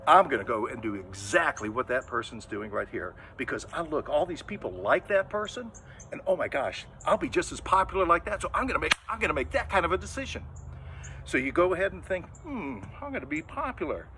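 An older man leads a children's sermon, his voice climbing and dipping with lively swings. He sits a touch high for a man and acts out the thoughts of kids in little voices. He is recorded outdoors from a camera a few metres off, with faint open-air ambience behind him. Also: brisk, a little hiss or hum. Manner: lively storytelling, acts out inner voices, gestures a lot. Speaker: children's sermon leader at a church. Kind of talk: children's sermon, casual and animated. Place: outdoors in front of a brick church building. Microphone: camera a few metres away, standing full figure.

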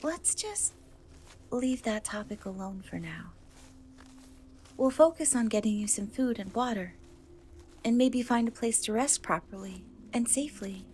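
A woman playing a gentle, wistful young woman cursed into a half-cat, in a soft, hesitant dark fantasy ASMR roleplay. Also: fairly high pitch, expressive, slight background noise. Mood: apologetic, reassuring. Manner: hesitant, soft, slow, often trailing off.

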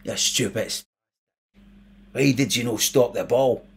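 A Scottish man voicing an angry, thuggish young man who speaks in a gruff, scornful way. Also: mid-range voice, measured pace, fairly bright tone.

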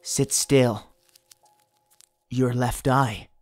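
A man playing a gruff, bossy companion who secretly cares, speaking tersely in a fan dub. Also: mid-range voice, steady tone, extremely slow, a little mellow, faint music behind. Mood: gruff, impatient. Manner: terse, commanding.

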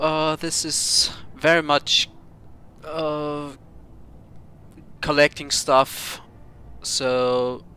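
A young man from Austria with a German accent, chatting slowly and hesitantly over his gameplay with lots of fillers. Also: mid-range voice, bright, forceful tone, smooth. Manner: slow, hesitant, filler-heavy, self-mocking. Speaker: gameplay streamer. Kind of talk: casual let's-play commentary.

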